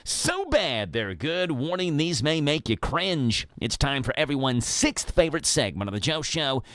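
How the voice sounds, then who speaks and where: A man's voice: on the high side, sing-song, normal speed, a bit bright, no room sound, clean studio sound. A man with a Kentucky accent hosting a morning radio show, reading ads and weather in playful, sing-song patter. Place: radio studio. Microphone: studio mic. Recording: radio broadcast with music bed.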